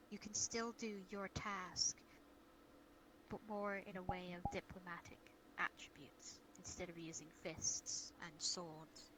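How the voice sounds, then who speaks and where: A woman's voice: mid-range voice, close to an everyday voice. A woman playing a caring, cautious guild leader in an improvised fantasy role-play, speaking earnestly and hesitantly over online voice chat. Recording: noisy online voice-chat audio.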